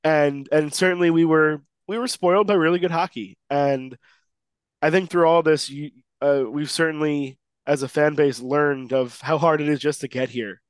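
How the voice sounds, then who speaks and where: A man's voice: on the high side, steady tone, normal speed, clear, resonant tone, no room sound. A man co-hosting a sports podcast who is losing his voice and sounds a little nasal, talking emphatically with lots of restarts. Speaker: podcast co-host. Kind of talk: excited sports podcast chat.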